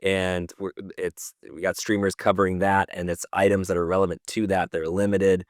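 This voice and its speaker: A man's voice: sits low, a little fast, no room sound, clean studio sound. Laid-back man hosting a podcast. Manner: relaxed, informal, steering the conversation. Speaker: host. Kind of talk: casual podcast chat.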